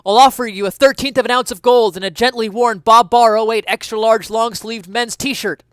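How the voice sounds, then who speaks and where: Give the voice of a self-righteous hardboiled police detective as a man reads him aloud. He is raised in pitch, forceful and declamatory, barking earnestly in a parody crime story. The sound is close and dry. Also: fairly even intonation, normal speed, smooth, no echo. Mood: yelling urgently. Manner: earnest, declamatory, shouting commands.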